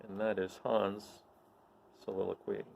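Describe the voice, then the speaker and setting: A man hosting a read-aloud, talking casually and haltingly between readings in a self-deprecating way. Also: lowish voice, level tone, creaky, a little mellow, a little hiss or hum. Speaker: host reading aloud to viewers. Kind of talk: casual introductions to dramatic readings.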